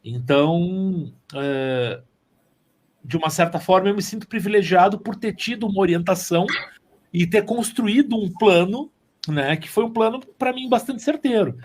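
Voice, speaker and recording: Homem gaúcho de voz mais aguda. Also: male voice, high voice, normal speed. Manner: often tags phrases with "né". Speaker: interview guest, musician and music teacher. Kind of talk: casual conversational storytelling.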